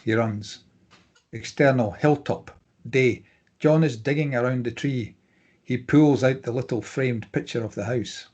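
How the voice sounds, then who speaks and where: A man's voice: mid-range voice, a little slow, darkish tone. A man reading out screenplay stage directions as scripted narration.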